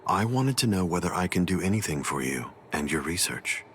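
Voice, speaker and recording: An actor playing a calm, commanding overlord in an anime game, formal, composed and smoothly condescending. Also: male voice, deep voice, slight background noise. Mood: calm authority. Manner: composed, formal, unhurried authority. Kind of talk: anime video game voice acting. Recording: music behind. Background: background music.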